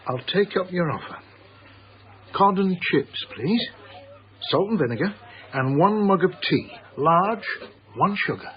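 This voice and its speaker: An actor playing a genteel, melancholy middle-aged English art dealer in a radio drama, literate and precise in manner. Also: male voice, sits high, animated, a little slow, pauses often, highs cut off. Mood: resigned. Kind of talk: radio drama narration and dialogue.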